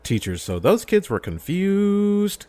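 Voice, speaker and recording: A man in a casual podcast discussion, animated and given to breaking into character voices. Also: sits high, slow.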